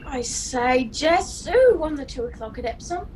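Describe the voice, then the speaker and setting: A woman's voice: high voice, lively intonation, unhurried, a little mellow. Swooping, nosy passenger played by a woman. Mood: nosy, astonished. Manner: slangy, exclamatory. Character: nosy, slangy working-class passenger. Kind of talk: old-time radio play acting. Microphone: video call. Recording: faintly noisy video call audio.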